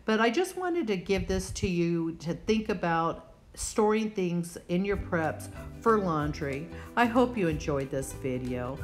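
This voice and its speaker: A woman's voice: on the low side, big pitch swings, a little slow, soft background music. A woman hosting a preparedness vlog, talking casually and instructively, plainspoken and speaking straight to the viewer. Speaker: host of a prepping channel.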